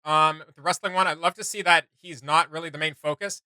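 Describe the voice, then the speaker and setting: A man's voice: a little high, fairly even intonation, fairly quick, bright, forceful tone, smooth, no room sound. A man giving casual reaction commentary as a video host, thinking aloud in a rambling way with trailing asides.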